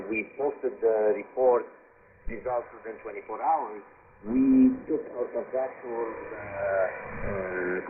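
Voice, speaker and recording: A man answering interview questions as a spokesman, halting and hesitant with frequent uhs. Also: on the low side, phone-quality sound. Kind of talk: live radio interview answers. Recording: noisy thin shortwave radio reception.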